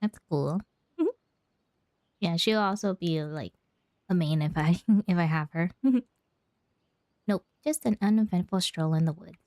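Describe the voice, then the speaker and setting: A woman's voice: fairly low pitch, measured pace, long pauses, a little mellow, no echo. A woman streaming a video game, chatting casually and reacting live as she plays. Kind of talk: casual live playthrough chat.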